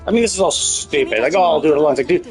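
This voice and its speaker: A man's voice: on the high side, lively intonation, very fast, a little mellow, soft background music. A man livestreaming casual game commentary, ranting in an exasperated, emphatic way and swearing freely. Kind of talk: casual gameplay commentary rant.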